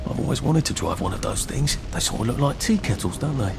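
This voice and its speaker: A man's voice: medium pitch, expressive, fast talker, gravelly, background noise. An actor playing a mild, curious young Englishman in a video game, muttering hesitantly and wryly to himself with an English accent. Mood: wry musing.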